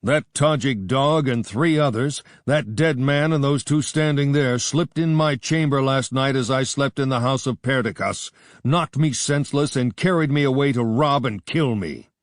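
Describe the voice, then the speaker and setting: A man's voice: mid-range voice, normal speed, no echo, clean studio sound, natural voice. A man voicing a tough adventurer who speaks curtly and bluntly. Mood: angry. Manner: curt and blunt.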